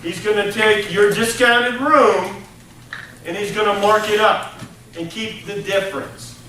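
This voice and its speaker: A man's voice: high-pitched, steady tone, unhurried, pushed and bright, a little hiss or hum. A man teaching a college hospitality class, animatedly acting out business deals with his students. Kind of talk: interactive classroom lecture. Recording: echoey classroom from far off.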